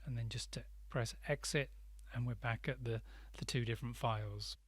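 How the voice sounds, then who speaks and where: A man narrating a step-by-step tutorial as an instructor, in a measured, explanatory way. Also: mid-range voice, steady tone, fairly bright tone, dry recording.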